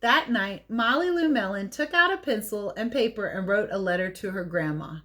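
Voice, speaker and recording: Animated woman teacher reading a storybook. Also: medium pitch, moderate pace. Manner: expressive, playful, chatty commentary on the pictures. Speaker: teacher reading a picture book to children. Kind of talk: animated read-aloud storytelling with casual asides.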